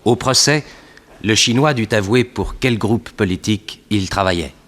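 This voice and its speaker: An actor playing a detective's loyal assistant, narrating the case in the first person for a 1960s French radio drama in a husky voice. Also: male voice, on the low side, moderate pace, a bit bright, close to an everyday voice. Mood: dramatic, recounting.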